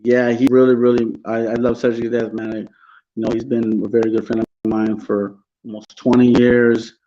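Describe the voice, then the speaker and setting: A man's voice: mid-range voice, level tone, normal speed, mellow, muffled recording, a little hiss or hum. A middle-aged man, a rapper guest on a podcast, answering politely and often saying yes, sir. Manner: polite, short affirming replies. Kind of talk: casual interview answers.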